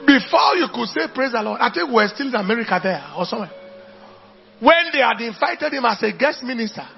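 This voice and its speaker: A Nigerian man delivers an animated sermon in a high-pitched voice, hammering phrases through repetition as he addresses a congregation. The recording is somewhat dull and lo-fi, with music playing behind him. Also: fairly quick, creaky. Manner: emphatic repetition, declaiming to congregation. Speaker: preacher.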